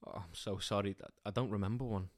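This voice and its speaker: An actor playing an anxious, stubborn defender of a sanctuary in an audio drama, earnest and troubled. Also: male voice, lowish voice, fairly even intonation, quick pace, close to an everyday voice. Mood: anguished.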